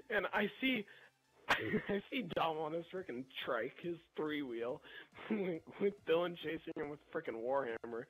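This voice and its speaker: A young man with a fairly high, husky voice rambles through a story over gameplay. He is animated and slangy, talking casually as if to friends. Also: low-quality recording. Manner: animated, slangy, chuckling delivery. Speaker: gameplay video narrator.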